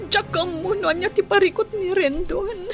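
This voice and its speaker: A woman's voice: very high-pitched, a little slow, soft background music. A woman acting a hurt, anxious young wife in a radio drama, plaintive and questioning, with an Ilocano accent. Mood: tearful, worried.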